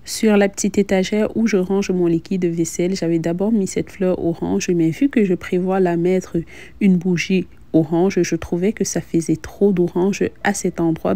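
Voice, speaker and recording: A woman's voice: lowish voice, warm tone. A young woman narrating a casual home decoration vlog, chatting directly to her viewers. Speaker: lifestyle vlogger and host.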